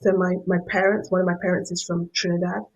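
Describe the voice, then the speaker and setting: A middle-aged woman with a Yorkshire accent delivers an academic lecture. Her voice sits fairly low, with a gravelly edge and a husky texture. She often doubles back on words as she reaches for the next phrase. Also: level tone, quick pace, muffled recording. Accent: Yorkshire English. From Yorkshire, England. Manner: repeats words while searching for the next phrase. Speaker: professor giving a guest lecture.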